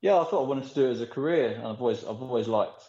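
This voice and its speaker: A man's voice: medium pitch, racing. A man, a pool player born in the Philippines, chatting as a podcast guest in rambling, filler-heavy anecdotes. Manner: rambling, animated storytelling with frequent fillers. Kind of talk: casual podcast interview. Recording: echoey, dull lo-fi recording.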